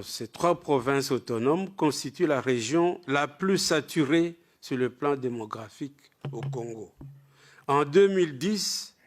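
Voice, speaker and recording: Man giving a formal, emphatic lecture. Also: mid-range voice. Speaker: lecturer presenting with maps. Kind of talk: formal academic lecture read from a prepared text.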